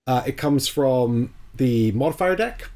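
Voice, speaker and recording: A man's voice: medium pitch, expressive, measured pace, darkish tone. A man with a sore throat, hosting a stream and chatting casually about board games, with lots of ums and restarts. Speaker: stream host. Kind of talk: casual chat.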